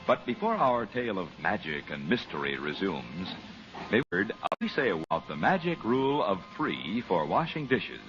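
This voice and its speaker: A man with an American accent announcing and narrating a 1940s radio serial in a theatrical, deliberate scripted style. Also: low-pitched, wildly swooping pitch. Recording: muffled 1940s radio broadcast. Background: music.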